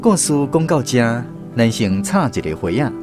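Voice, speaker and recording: A man with a Taiwanese Hokkien accent narrating a scripted radio story in an expressive storyteller's style. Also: medium pitch, normal speed, loud music behind the voice.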